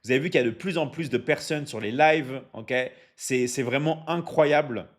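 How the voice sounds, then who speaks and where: A man from France coaching and selling on a live stream, energetic and repetitive, with lots of fillers and frequent check-ins to his viewers. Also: mid-range voice, moderate pace. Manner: energetic, repetitive, drops many fillers and asks "ok? d'accord?". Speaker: online academy coach hosting a live stream. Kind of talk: live stream sales pitch reading chat.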